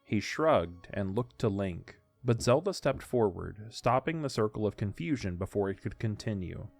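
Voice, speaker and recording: Male narrator for fantasy audio drama: fairly low voice, dry close sound, scripted storytelling, faint music underneath. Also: lively intonation, normal speed, gravelly, no echo.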